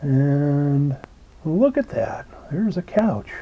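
A man reading a children's picture book aloud, slow and expressive, pausing to point out details in the pictures. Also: fairly high pitch. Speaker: storybook reader. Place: indoors at a table.

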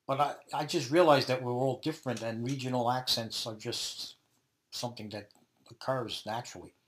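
A middle-aged man with a thick Brooklyn New York accent answers interview questions. His voice sits at an ordinary mid pitch. He speaks thoughtfully, often pausing and restarting sentences as he searches for words. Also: steady tone.

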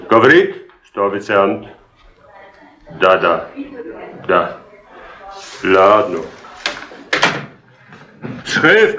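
An actor playing a Russian guard with a Russian accent, speaking broken German in terse phrases in a 1960s radio crime drama. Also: male voice, medium pitch, sharp tone, lo-fi sound, full character voice. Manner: broken German, clipped commands. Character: stern, terse Russian guard, later apologetic. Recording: echoey old radio tape, music behind.

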